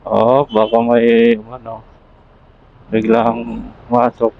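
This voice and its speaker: A man's voice: medium pitch, big pitch swings, takes it slowly, vocal fry, phone-quality sound. A man chatting casually as a motorcycle vlogger through a helmet intercom while riding. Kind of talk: casual riding vlog chat. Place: outdoors, riding a motorcycle in traffic.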